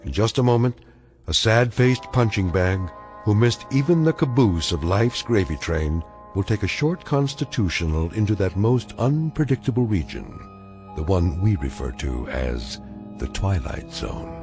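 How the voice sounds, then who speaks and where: An actor playing the wry, ominous narrator of a radio drama, reading scripted narration with measured sardonic phrasing. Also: male voice, lowish voice, big pitch swings, music in the background.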